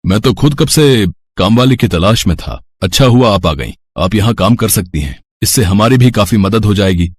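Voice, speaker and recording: A man's voice: on the low side, expressive, normal speed, slightly rough, no room sound, voice pushed down. A man playing a kindly, earnest village man in a moral story cartoon.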